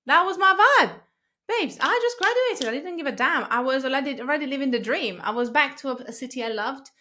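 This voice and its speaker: A woman's voice: high-pitched, expressive, fairly quick, bright, forceful tone, a little hiss or hum. A chatty woman in her thirties from Italy, a podcast guest who laughs easily and wanders off on tangents. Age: 30s. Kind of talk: casual interview chat.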